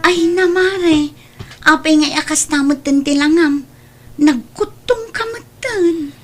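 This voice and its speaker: A woman's voice: high-pitched, takes it slowly, soft background music. An actress playing an outspoken neighbour woman in a radio-style comedy drama, speaking in an emphatic, admonishing way. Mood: concerned, admonishing. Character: outspoken neighbour woman, scolding yet concerned.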